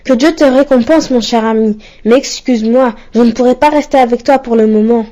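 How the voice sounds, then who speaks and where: A woman's voice: on the high side, a little fast, mellow, lightly acted. A woman voicing a polite, caring boy who looks after his sick mother in a cartoon. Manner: polite, apologetic.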